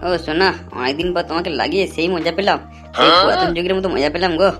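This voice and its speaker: A woman acts out a sly, greedy male swindler in a cartoon. The delivery is quick, colloquial and taunting. Music sits behind the words. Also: lowish voice, fairly bright tone, lightly acted. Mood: scheming. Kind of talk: amateur cartoon voice acting. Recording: music under the voice.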